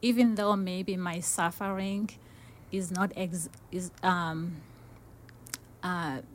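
A woman from Africa, a social worker answering a guest's questions in earnest, simple, halting sentences. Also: lowish voice, fairly even intonation, a little hiss or hum. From South Africa.

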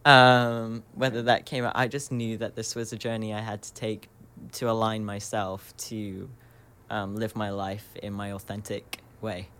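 A young man in his twenties talks thoughtfully as a radio guest, pausing often with ums as he searches for words. His voice is bright and forceful yet sits fairly low, and he laughs easily between thoughts. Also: little pitch movement, clear, resonant tone. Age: 20s. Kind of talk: reflective radio interview talk.

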